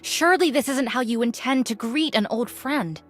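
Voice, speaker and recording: A woman voicing a composed female video game champion in a formal, measured manner. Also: fairly high pitch, faint music behind, natural voice. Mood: composed reproach. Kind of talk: scripted video game voice line.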